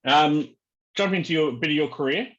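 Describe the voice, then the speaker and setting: A man's voice: on the high side, fairly quick, fairly bright tone. Dull-sounding Kiwi-tinged male interviewer, hesitant. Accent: New Zealand-tinged. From Australia. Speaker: interviewer, fan page host. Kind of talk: casual interview chat.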